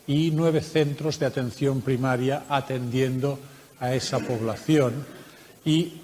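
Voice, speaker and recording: A man with a Spanish accent from Spain, presenting a research project at a conference workshop in a measured, explanatory way with frequent hesitations. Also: on the high side, a little mellow, long reverb tail, slight background noise. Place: conference room.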